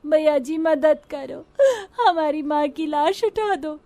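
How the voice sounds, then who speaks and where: A woman's voice: sits high, fairly even intonation, unhurried, dark tone. A woman playing a desperate young orphan girl in a story, plaintive and wailing.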